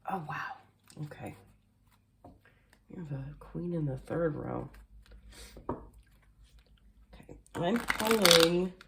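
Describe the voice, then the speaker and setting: A woman with a low voice reads tarot cards at a table at home, talking off camera. She is chatty and rambling, full of little fillers, and sounds a bit nasal and hoarse from allergies. There is a faint hiss behind her. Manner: chatty, rambling with many fillers, occasional coughs. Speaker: tarot reader. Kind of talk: casual tarot card reading. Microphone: camera facing the table, speaker mostly off screen.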